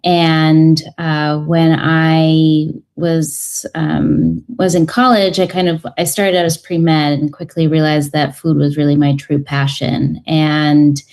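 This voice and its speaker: A woman's voice: low voice, flat delivery, slow pace, few pauses, darkish tone. A woman in her thirties, a cookbook author guest on a radio show, talking in a thoughtful way with many ums and uhs. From United States. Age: 30s. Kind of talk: radio interview.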